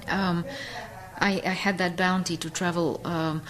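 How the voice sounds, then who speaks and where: A middle-aged woman with a Bulgarian accent, speaking a touch low in pitch. She answers interview questions in a considered way, often pausing on "uh" and "um" as she gathers her thoughts. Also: level tone, fairly bright tone. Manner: thoughtful, many fillers and pauses. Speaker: interview guest, educator. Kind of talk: on-location radio interview. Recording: radio interview.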